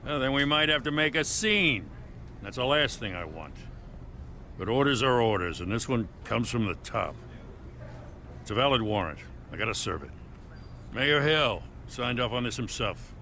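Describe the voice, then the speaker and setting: Forceful, weary police lieutenant. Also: male voice, medium pitch, lively intonation, moderate pace, a little hiss or hum. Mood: reluctant, firm. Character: weary, principled police lieutenant, reluctant but dutiful. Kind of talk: dramatic video game acting.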